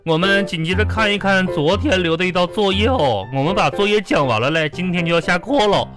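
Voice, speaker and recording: A woman's voice: lowish voice, natural voice. A woman playing an exasperated, nagging primary school teacher, drawing out words as she lectures in a radio comedy sketch. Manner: drawn-out, lecturing, sing-song delivery. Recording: music behind the voice.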